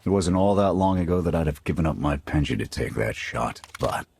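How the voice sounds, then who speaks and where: An actor playing a gruff veteran male soldier who leads a squad, blunt and clipped, in a video game. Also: expressive, fairly quick, a little mellow, exaggerated voice. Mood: steady. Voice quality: deep gravelly voice. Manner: blunt, clipped military orders. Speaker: squad leader.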